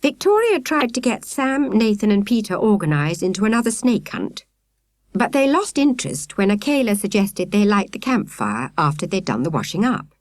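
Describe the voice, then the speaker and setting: A woman narrating a children's story in expressive, carefully phrased style. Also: mid-range voice, moderate pace, no echo, studio quality. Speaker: audiobook narrator.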